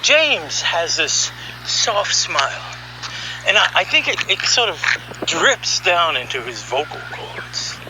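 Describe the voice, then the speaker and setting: A man narrating a podcast story in a conversational, wry and musing way, with emphatic phrasing and dramatic pauses. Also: high voice, big pitch swings, noisy background. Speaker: storyteller and voice session director.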